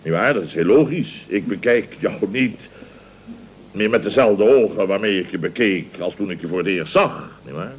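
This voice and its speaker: An actor playing a pompous, self-satisfied middle-aged contractor boss in radio comedy, blustering and repeating himself with rhetorical questions. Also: male voice, on the low side, lively intonation, moderate pace, breathy edge. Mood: indignant. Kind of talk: 1970s Dutch radio comedy acting. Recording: dull old radio recording.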